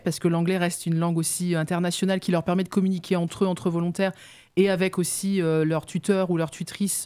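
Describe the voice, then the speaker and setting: A woman's voice: lowish voice, mellow. A woman, a guest on local radio, explaining her work at length with many hesitations. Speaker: youth mobility officer, guest. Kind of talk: explaining in an interview.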